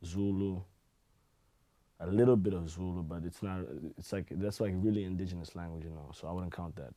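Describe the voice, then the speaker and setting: A man with a very low voice and a warm, dark tone. He chats casually as a podcast guest, telling stories in an easygoing, rambling way full of "you know" and "like". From Zimbabwe. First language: Shona. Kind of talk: casual chat.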